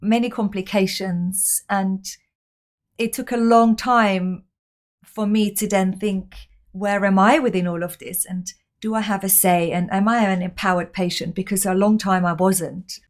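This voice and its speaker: A woman from Austria, a podcast guest telling her personal story in an open, reflective way. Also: medium pitch.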